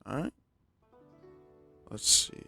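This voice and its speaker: A man giving casual live commentary on a game in a slangy, joking way, with a gravelly voice. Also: slow, bright, forceful tone, faint music behind. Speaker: game streamer.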